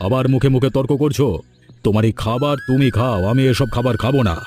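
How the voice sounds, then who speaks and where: A man playing a middle-aged, fussy village father-in-law in a cartoon story, talkative and quick to scold. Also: mid-range voice, darkish tone, faint music behind, natural voice. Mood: irritated, scolding. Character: fussy older village father-in-law, easily swayed and irritable. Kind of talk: animated cartoon story dialogue.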